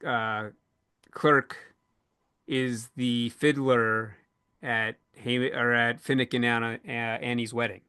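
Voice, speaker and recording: A man's voice: medium pitch, flat delivery, slow pace, sharp tone, smooth. A man hosting a casual panel discussion, feeling his way through his phrasing as he talks. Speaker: podcast host moderating a panel.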